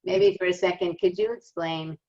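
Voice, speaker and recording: A woman's voice: fairly low pitch, steady tone, normal speed, dark tone, dull audio. A woman hosting a podcast interview, asking short, direct questions. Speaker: podcast host.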